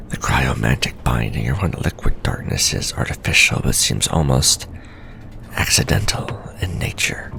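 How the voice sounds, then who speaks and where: A man whispering as an obsessive gravelly scholar-mage. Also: extremely low pitch, a little hiss or hum, full character voice. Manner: formal, softly whispered letter-reading. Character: obsessive male scholar-mage writing letters home. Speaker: ASMR storyteller. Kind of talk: whispered ASMR roleplay reading.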